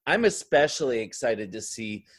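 A man's voice: mid-range voice, very animated, normal speed, fairly bright tone, slightly rough. A man speaking as an interview guest in a casual podcast conversation, thinking aloud in a discursive way with frequent filler phrases. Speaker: interview guest, drag performer.

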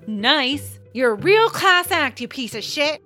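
An actress playing a shy, socially awkward young gamer woman with a quick temper, in a romantic comedy audio drama. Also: high voice, sing-song, bright, forceful tone, faint music behind, exaggerated voice. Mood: outraged.

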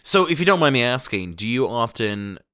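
A male podcast host in casual conversation, animated and full of fillers, asking curious questions. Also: medium pitch, brisk.

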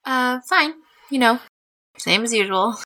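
A young american sister, bright forward voice, casual n kinda cagey, short answers, audio drama. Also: female voice, a little high, animated, measured pace, exaggerated voice, poor audio. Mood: guarded, uneasy. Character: Amy, guarded, breezy young younger sister. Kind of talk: naturalistic modern audio drama acting.

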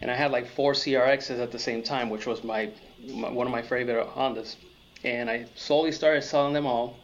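A man's voice: mid-range voice, a little hiss or hum. A man from Puerto Rico telling personal stories in a casual, conversational way as an interview guest and car enthusiast. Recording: dull lo-fi audio.

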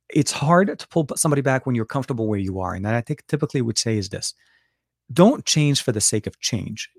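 A man's voice: medium pitch, darkish tone, no echo, studio quality. A middle-aged man from Lebanon hosting a casual live Q&A, talking with many fillers and restarts and answering viewers' questions. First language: Arabic. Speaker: livestream host.

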